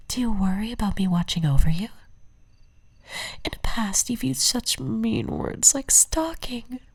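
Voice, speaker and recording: A woman playing an obsessive, possessive stalker in an ASMR roleplay, whispering softly and breathily with tender menace and ornate, deliberate endearments. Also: on the high side, expressive, slightly rough, exaggerated voice. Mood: possessive, wistful.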